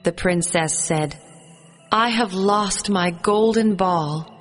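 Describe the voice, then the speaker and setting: Woman, scripted fairy tale narration, measured, slow pace, long reverb, music behind. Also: mid-range voice. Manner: measured storybook reading. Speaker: storyteller.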